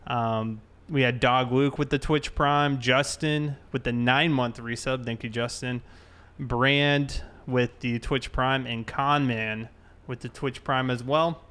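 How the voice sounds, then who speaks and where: A man's voice: medium pitch, steady tone, slow, fairly bright tone, smooth. A man hosting a roleplay game livestream, chatting casually with his viewers.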